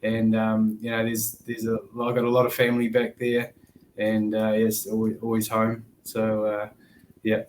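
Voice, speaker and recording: A man with a New Zealand accent, a former sevens player chatting as a podcast guest in a relaxed, rambling way. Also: fairly low pitch, moderate pace, a little hiss or hum, low-quality recording. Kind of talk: casual podcast chat.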